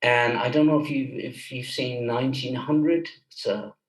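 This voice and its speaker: A man from Germany speaks as a guest in a casual interview, in a roomy, echoey space. His pitch is middling and his manner is enthusiastic and explanatory, like a filmmaker talking through his craft. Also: fairly even intonation, moderate pace. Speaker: guest, film director and composer.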